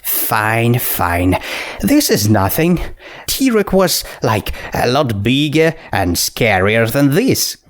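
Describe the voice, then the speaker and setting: A man's voice: mid-range voice, sing-song, takes it slowly. A man voicing a sceptical young female pony princess who speaks hesitantly and with awkward politeness. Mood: sceptical, weary.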